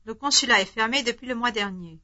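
A woman giving the model answers in a language drill, measured and carefully articulated. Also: a little high, sharp tone. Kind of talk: reading drill responses. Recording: dull lo-fi tape recording.

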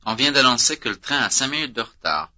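A man reading out language drill prompts as an instructor, slow and clearly articulated. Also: mid-range voice, animated, sharp tone. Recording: dull lo-fi tape recording.

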